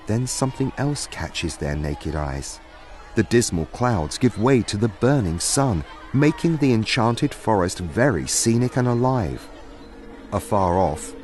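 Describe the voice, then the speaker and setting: A man's voice: on the low side, a little mellow. A man narrating a scripted fantasy story in a dramatic storytelling style.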